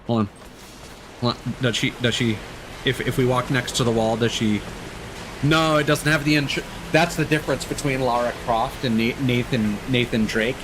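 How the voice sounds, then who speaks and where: A man's voice: medium pitch, a bit bright, a little hiss or hum. A man giving casual livestream gameplay commentary, thinking aloud in a rambling, jokey way. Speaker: video game streamer. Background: game ambience.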